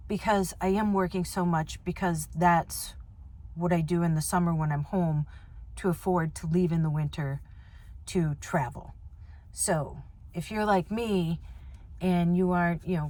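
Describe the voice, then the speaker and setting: A woman, chatting casually to camera in a van in a rambling way, full of fillers. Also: on the low side. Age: 50s. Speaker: vlogger. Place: inside a van.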